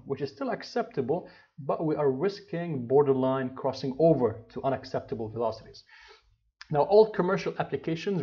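A man's voice: mid-range voice, lo-fi sound. A man in his thirties teaching an engineering course over slides, explaining step by step with restarts and fillers. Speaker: HVAC instructor. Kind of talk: slide lecture.

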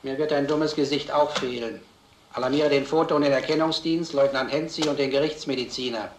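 An actor playing a brisk, authoritative police lieutenant in a crime drama, giving curt commands. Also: male voice, mid-range voice, steady tone, lightly acted. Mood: brisk commanding. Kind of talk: German film crime drama acting. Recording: echoey old film soundtrack, noisy.

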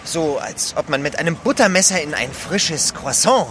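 An actor playing a loyal, excitable manservant aboard a sailing ship in a German audio drama. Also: male voice, fairly high pitch, moderate pace. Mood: wry. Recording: noisy storm and crew sounds behind.

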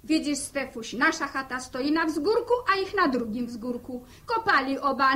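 A middle-aged farm wife in a vintage radio serial. Her voice sits high, and she is impatient and blunt, pushing into the talk with folksy emphasis. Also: lively intonation, moderate pace, sharp tone. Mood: explaining. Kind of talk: 1960 radio drama acting.

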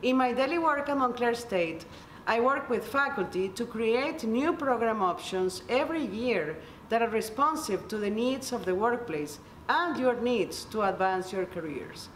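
A woman's voice: on the high side, lively intonation, a little slow. A middle-aged woman from Venezuela, a native Spanish speaker with a Venezuelan accent, giving a scripted welcome address as an associate dean in an office. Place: indoor office by large windows. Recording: echoey room with slight background noise.

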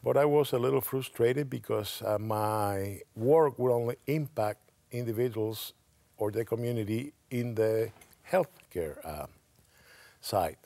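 A man's voice: mid-range voice, unhurried, vocal fry, dark tone, no room sound. A man in his sixties from Cuba, speaking English with a Latin American Spanish accent, answering as a guest in a studio interview. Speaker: state commission director, guest. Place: TV studio set. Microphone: lapel.

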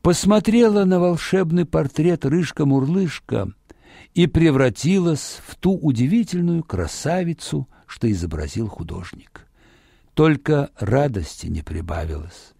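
A man narrating a fairy tale for children in an expressive, dramatic storytelling manner. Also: fairly high pitch, gravelly, dry recording, clean studio sound.

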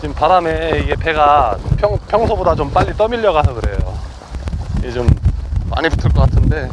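A man with a high, lively voice talks through his fishing on the open deck of a boat, exclaiming at every bite. Strong wind and waves buffet the camera mic throughout. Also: brisk. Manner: excited exclamations, chatty play-by-play with laughs. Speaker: fishing vlogger. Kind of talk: casual live commentary while fishing. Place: deck of a boat at sea, outdoors, windy.

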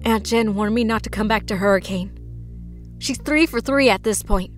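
A woman's voice: medium pitch, moderate pace, lightly acted. A young woman voicing an intense, insistent young woman with wounded pride in a dramatic comic dub. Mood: pointed. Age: young adult. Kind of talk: dramatic dialogue. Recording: music behind the voice.

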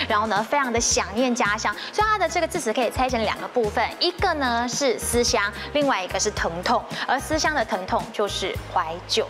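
A woman in her thirties with a Taiwanese Mandarin accent, presenting quiz questions and explanations as a TV co-host in a studio. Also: fairly high pitch. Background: music.

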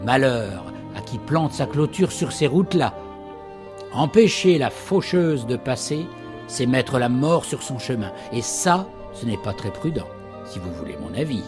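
Animated old fireside storyteller. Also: male voice, medium pitch, unhurried, over music. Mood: ominous storytelling. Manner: theatrical, teasing storytelling. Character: old Breton father and fireside storyteller, jovial yet ominous. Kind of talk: French audio drama acting.

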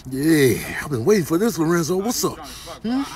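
A man's voice: a little high, sing-song, normal speed, darkish tone. A man voicing a cocky, strong bull with boastful, slangy trash talk in a comic animal voiceover.

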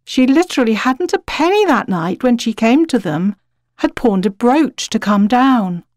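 A woman with a British accent giving an expressive, reflective dramatic reading of literary fiction as a narrator. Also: on the high side, unhurried, no room sound, clean studio sound.

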